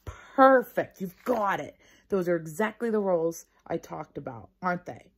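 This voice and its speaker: A woman reading the part of a patient but firm male first-grade teacher in a storybook, measured, praising and gently correcting. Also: lowish voice, animated, slight background noise. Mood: encouraging.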